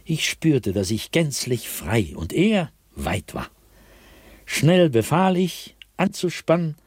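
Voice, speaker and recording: An actor playing a haunted, fearful diarist sliding into madness in a dramatic, intense radio drama monologue, at times breathy and whispering. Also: male voice, medium pitch, no room sound. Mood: agitated, panicked.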